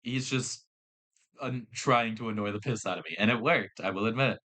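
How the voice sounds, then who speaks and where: A young man with a Southern accent who works in fast food, telling a work story in casual chat. He swears often, laughs a lot and acts out the people he quotes. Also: fairly low pitch, sharp tone. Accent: American Southern. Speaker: podcast co-host.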